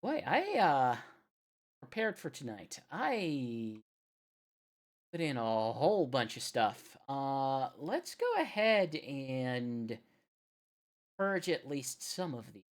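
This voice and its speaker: A woman's voice: extremely low pitch, big pitch swings, takes it slowly. A woman hosting a casual live music stream, rambling and thinking aloud as she goes. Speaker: radio show host.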